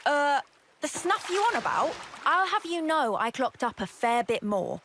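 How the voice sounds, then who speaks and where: A woman's voice: high voice, big pitch swings, a little slow, noisy background. A woman playing a brash, teasing young soldier in a video game, with a British accent and blunt, sarcastic, slangy delivery. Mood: indignant, teasing. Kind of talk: video game cutscene acting.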